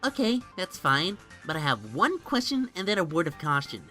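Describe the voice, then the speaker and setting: A woman's voice: on the low side, very animated, normal speed, bright, forceful tone, heavily acted. Girl doing a geeky kid guy bantering with his buddy in a fan dub, really bouncy intonation, music behind. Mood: teasing. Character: young boy, earnest, nerdy, teasing best friend. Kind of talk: amateur comic fan dub dialogue.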